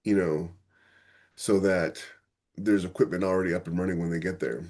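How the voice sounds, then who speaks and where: A man's voice: low-pitched, steady tone, moderate pace, a little mellow. A man co-hosting a podcast, giving his opinions in casual conversational discussion. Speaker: podcast co-host.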